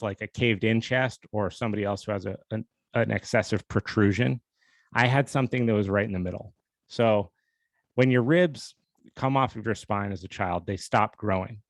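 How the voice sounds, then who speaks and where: A man's voice: lowish voice, no room sound. A young man hosting his own podcast, telling a personal story in a candid, self-deprecating, casual way. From USA. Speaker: podcast host.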